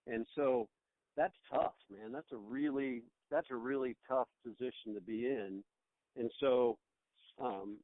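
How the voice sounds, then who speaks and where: A man's voice: medium pitch, no room sound. Phone-quality audio, dry sound, American man, middle-aged, reflective, conversational, rephrases mid-thought. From United States. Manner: reflective, rambling, often repeats words to rephrase. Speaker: small business owner being interviewed. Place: on a phone call. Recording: tinny, band-limited phone line.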